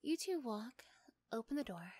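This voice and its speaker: A woman's voice: a little high, sing-song, moderate pace, a little mellow, dry recording, studio quality. A woman narrating a scripted second-person story for an ASMR roleplay.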